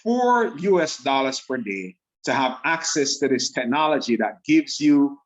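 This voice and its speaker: A man with a Jamaican accent giving an enthusiastic, fast-talking sales pitch on a video call, repeating himself for emphasis. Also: very animated. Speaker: online sales presenter.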